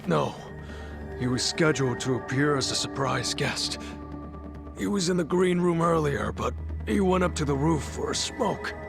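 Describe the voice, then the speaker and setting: A man's voice: fairly high pitch, normal speed, gravelly, over music. An actor playing a shaken, stammering man who was just attacked, in a game dub.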